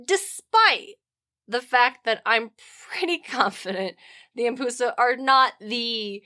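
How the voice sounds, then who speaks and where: A woman's voice: a little high, slow pace. A woman co-hosting a podcast, chatting casually and jokily about mythology and pop culture, swearing now and then. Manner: animated, jokey, swears casually. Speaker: podcast co-host.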